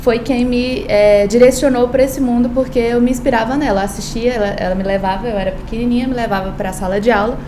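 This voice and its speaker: A woman's voice: on the high side, fairly even intonation, fast, few pauses, roomy sound, background noise. A woman from Brazil, a lawyer and law professor, telling her story earnestly in a podcast interview, with frequent hesitations. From Brazil, born in Paraíba, raised in Brasília.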